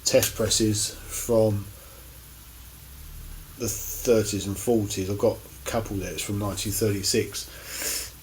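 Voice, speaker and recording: A man giving a casual, unscripted show-and-tell to camera as a record collector, in a halting, rambling way with many pauses. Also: lowish voice, fairly even intonation, slight background noise, rough recording. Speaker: record collector presenting finds on his channel.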